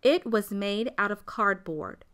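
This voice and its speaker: A woman's voice: mid-range voice, a little slow, background noise, natural voice. A woman playing a storyteller fondly looking back on childhood in a radio play. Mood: nostalgic. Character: grown woman fondly recalling her childhood. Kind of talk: scripted storytelling narration.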